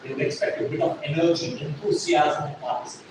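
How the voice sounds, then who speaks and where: A man's voice: fairly high pitch, animated, quick pace, a little mellow. A man, lecturing a classroom as a trainer, emphatic and repeating his points while checking whether the class follows. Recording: echoey classroom, distant mic, noisy.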